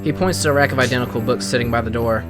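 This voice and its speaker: A man's voice: mid-range voice, racing. A man with an American accent, a game streamer reading visual novel narration aloud. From Missouri, United States. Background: guitar music.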